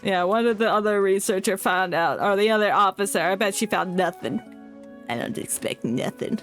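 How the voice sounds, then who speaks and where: A woman's voice: mid-range voice, moderate pace, fairly bright tone, soft background music. A woman game streamer giving casual, jokey commentary, playful and rambling as she heckles the game.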